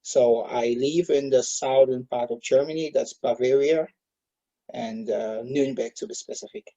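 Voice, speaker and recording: A man's voice: medium pitch, moderate pace, dark tone, lo-fi sound. A man in his thirties from Cameroon, a guest in an online interview, speaking hesitantly with many filler sounds. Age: 30s. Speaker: guest, solar industry expert.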